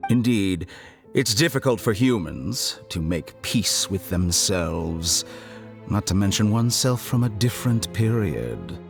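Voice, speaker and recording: Smug scholar villain, low voice, animated swoops, deliberate, theatrical, video game, background music. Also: male voice, gravelly. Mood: coolly amused. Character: calm, arrogant male scholar villain, coldly curious. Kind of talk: dramatic video game voice acting.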